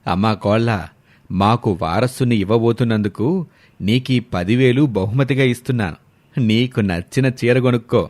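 A man's voice: medium pitch, normal speed, darkish tone, pitched below the performer's own voice, lightly acted. A man voicing a kindly, generous elderly father-in-law in a family story, affectionate and patriarchal. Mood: pleased, caring.

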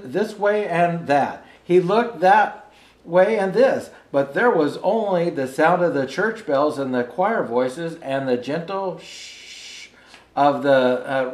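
An older man reads a children's storybook in an expressive, unhurried way. His voice sits a little high for a man, the pace is slow, and the room adds noticeable echo. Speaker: storyteller dressed as Santa Claus. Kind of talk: reading a children's picture book aloud. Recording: roomy indoor echo.

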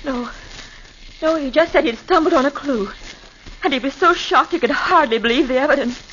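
An actress playing a frightened, grieving widow in a 1940s radio drama, anxious and pleading. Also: female voice, high-pitched, normal speed, a bit bright. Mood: distraught. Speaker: victim's wife. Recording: dull old radio recording with faint hiss.

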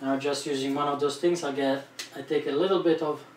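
A man's voice: a little high, fairly even intonation, brisk. A man in his thirties talks through a DIY build step by step, explaining plainly as he works. He is recorded indoors at home by a camera mic some distance away. The room is echoey and there is faint background noise. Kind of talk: DIY tutorial narration. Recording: roomy echo, voice off-mic.

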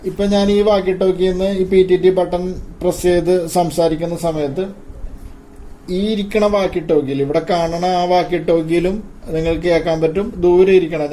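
A man giving an informal hands-on gadget demonstration, haltingly and repeating his phrases. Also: fairly high pitch, dark tone, slight background noise. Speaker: tech video presenter.

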